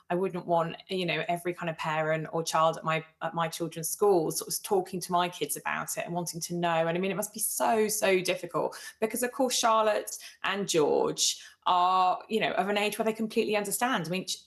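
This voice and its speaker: A middle-aged British woman speaking as a royal commentator in live broadcast punditry, with a measured, explanatory manner. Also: lowish voice. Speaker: royal commentator on a news programme.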